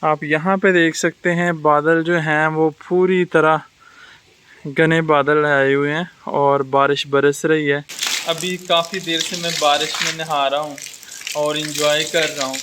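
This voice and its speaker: A man's voice: on the high side, level tone, a little slow, noisy background. A man vlogging in a casual, reflective, advice-giving way, mixing English words into his speech. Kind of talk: casual chat to camera. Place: outdoors in rainy weather.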